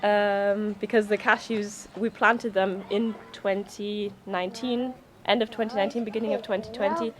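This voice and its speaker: A woman whose first language is German, a guest in a casual chat, answering softly with frequent laughs and hesitant pauses. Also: mid-range voice, flat delivery. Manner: soft-spoken, laughs often, hesitant with ums.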